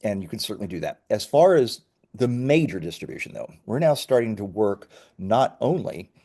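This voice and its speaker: Low-pitched man, enthusiastic interviewee. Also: big pitch swings, moderate pace, mellow. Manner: enthusiastic, emphatic. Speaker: startup founder being interviewed. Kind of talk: conversational interview, explaining a product.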